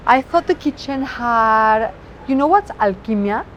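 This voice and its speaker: A woman's voice: fairly high pitch, lively intonation, a little slow, a bit bright, slight background noise, low-quality recording. A woman with a Mexican accent, native Spanish speaker, a chef talking expressively outdoors and sometimes searching for words. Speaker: chef, guest. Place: outdoors on a city street.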